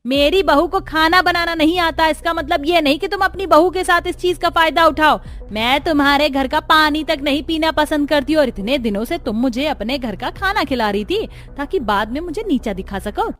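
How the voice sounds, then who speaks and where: A woman's voice: high voice, moderate pace, hardly any pauses, a bit bright. A woman voicing a proud, quarrelsome middle-aged mother-in-law in a family cartoon, scolding in an indignant, emphatic way. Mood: angry, scolding. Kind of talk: Hindi cartoon story dialogue.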